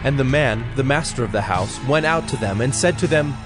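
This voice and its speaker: A man narrating a dramatized scripture in a measured, solemn, scripted style. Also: mid-range voice, expressive. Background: background music.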